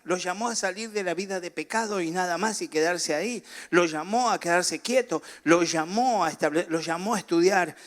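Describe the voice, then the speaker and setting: A man's voice: high voice, moderate pace, few pauses, a bit bright. A middle-aged man with a Rioplatense accent preaching a sermon, chatting and joking with the congregation before building to fervent preaching, with a slight cough. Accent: Rioplatense Spanish. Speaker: pastor.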